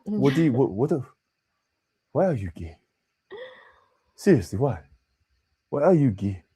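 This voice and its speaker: A man's voice: mid-range voice, a little slow, breathy edge. A young man hosting a casual livestream, talking in a loose, slangy way and calling people bro and man. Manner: animated, slangy, says bro and man a lot. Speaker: host.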